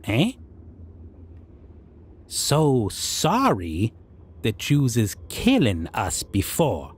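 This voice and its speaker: A man performs as a civilized goblin king in a fantasy audio drama, stern and menacing. His lowish voice swings expressively through strange broken grammar, taking its time over every question. Faint noise sits behind him. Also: exaggerated voice. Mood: mocking, grave. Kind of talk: scripted roleplay audio drama monologue.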